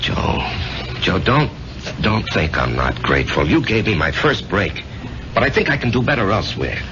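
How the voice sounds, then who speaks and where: An actor playing an insecure, earnest salesman husband in a 1970s radio drama, speaking haltingly. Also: fairly low pitch, big pitch swings. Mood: insistent. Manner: hesitant, stammering, earnest. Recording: noisy, muffled 1970s radio recording.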